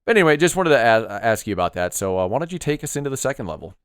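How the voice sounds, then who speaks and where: A man's voice: medium pitch, very animated, rapid-fire, a bit bright, clean tone, dry recording, studio quality. A man hosting a podcast, chatting casually about retro games in an upbeat, agreeable way and asking his co-host questions.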